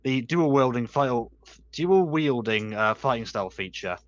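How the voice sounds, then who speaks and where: A man's voice: mid-range voice, expressive, normal speed, lo-fi sound. A man running a tabletop game as game master, explaining rules with frequent restarts and corrections. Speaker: dungeon master.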